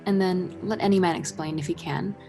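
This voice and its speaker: A woman narrating a radio play as a scripted story, husky and measured with dramatic delivery. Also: fairly low pitch, music in the background.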